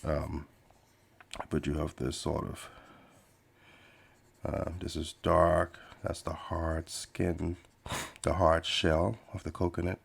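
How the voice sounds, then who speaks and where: Bahamian-raised host, slow, flat, rambling talk while sketching. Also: male voice, a bass voice, creaky, husky. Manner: meandering, many fillers and restarts, laid-back. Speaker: podcast host and artist. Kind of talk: casual rambling chat while drawing.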